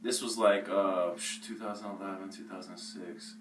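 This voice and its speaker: A man's voice: low-pitched, steady tone, normal speed. A man from the Maryland suburbs, an emerging pop artist being interviewed, rambling casually with many restarts and fillers. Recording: echoey room, mic at a distance, faint noise.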